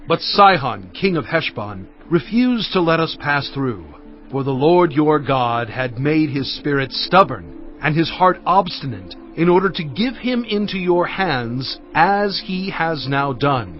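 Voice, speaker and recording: A fairly high-pitched, solemn male leader tells his people's history in measured phrases. It is audio Bible drama, slightly dull in sound, with music underneath. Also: expressive. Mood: solemn recounting.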